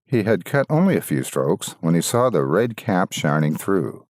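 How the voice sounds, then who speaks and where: A man narrating a fairytale from a script as a storyteller, theatrical and deliberate. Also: sits low, big pitch swings, darkish tone, no room sound, clean studio sound.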